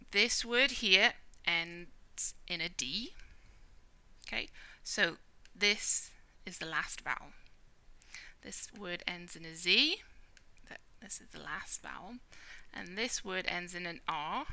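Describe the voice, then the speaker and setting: Bright British woman teaching Spanish. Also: fairly low pitch, expressive, slow. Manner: clear, explanatory, checks in with "yeah?" and "okay?". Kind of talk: whiteboard language lesson.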